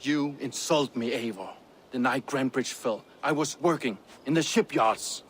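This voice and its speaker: An actor playing a proud, honourable Viking warrior in a video game, speaking earnestly and formally. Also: male voice, medium pitch, normal speed, a little hiss or hum, lightly acted. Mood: offended. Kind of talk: video game cutscene acting.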